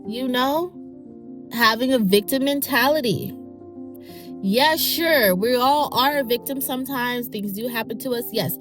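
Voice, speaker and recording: A woman's voice: fairly high pitch, a little slow, music in the background. A young woman with a nasal voice hosting her own podcast, chatty and self-mocking in a casual solo talk. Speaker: podcast host.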